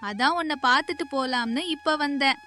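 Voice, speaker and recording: A woman's voice: sits high, a little fast, bright, forceful tone, faint music behind. A woman voicing a talkative, eager, friendly little rabbit in a children's cartoon story. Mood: cheerful, chatty. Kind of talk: children's animated story dialogue.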